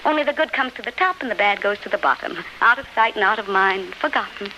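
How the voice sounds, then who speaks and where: A woman's voice: mid-range voice, expressive, fast talker, pushed and bright. An actress playing the tender ghost of a beloved woman, lyrical and fervent, in 1930s radio drama style. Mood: gentle consoling. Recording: noisy, scratchy 1939 radio recording.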